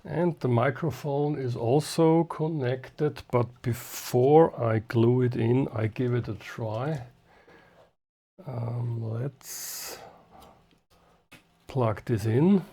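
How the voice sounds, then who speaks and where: A man with a mid-pitched voice narrates a hands-on repair at a very unhurried pace. He speaks in short, halting phrases, as if half to himself while his hands are busy. The delivery is unscripted, like a hobbyist showing his work step by step. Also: mellow, breathy edge. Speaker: hobbyist host of radio repair videos.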